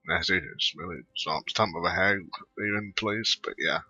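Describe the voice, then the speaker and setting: A man giving casual, halting commentary while playing a game and reading lines aloud. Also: low voice, bright, forceful tone, slightly rough, dry recording, highs cut off, soft background music. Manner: mumbling, halting, reads dialogue aloud. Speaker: gameplay video creator.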